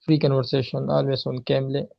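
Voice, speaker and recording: Gravelly young man on a dull call. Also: medium pitch, level tone, fairly quick. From Pakistan. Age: 20s. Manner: short hesitant answers, many "uh"s. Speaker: student. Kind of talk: casual conversation practice. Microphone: video call. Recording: dull lo-fi video call audio.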